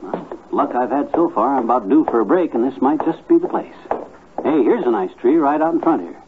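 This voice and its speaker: A man's voice: mid-range voice, expressive, fairly quick, noisy background, natural voice. A man playing a cocksure, stubborn bargain-hunting husband with a folksy, blustering manner in 1950s radio comedy. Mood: eager haggling. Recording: muffled, tinny old radio recording.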